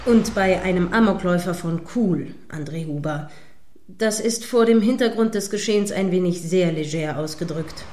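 A woman playing an earnest, primly correct radio news presenter in a radio play, speaking in formal, measured, wordy sentences. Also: fairly low pitch, a little mellow, natural voice. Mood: stern, moralizing. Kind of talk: satirical radio play as live news broadcast.

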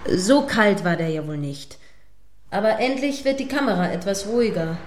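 A woman's voice: mid-range voice, animated, moderate pace, a little hiss or hum, close to an everyday voice. A woman playing an indignant, moralizing radio reporter giving live commentary on a standoff in a radio play.

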